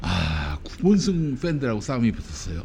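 A man's voice: mid-range voice, wildly swooping pitch, normal speed, vocal fry, a little mellow, slight background noise. A middle-aged man from Chuncheon, South Korea, chatting casually on a panel and telling stories in a teasing, joking way.

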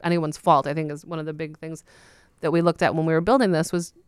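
Low-voiced, smooth-toned woman explaining firmly. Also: steady tone, fast, no echo. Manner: firm and explanatory. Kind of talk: casual podcast conversation.

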